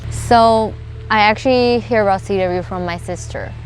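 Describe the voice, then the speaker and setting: A young woman from China with Chinese as her first language, a college student answering interview questions in a halting, filler-heavy way. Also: medium pitch, soft background music, low-quality recording. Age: young adult. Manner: hesitant, many fillers and restarts.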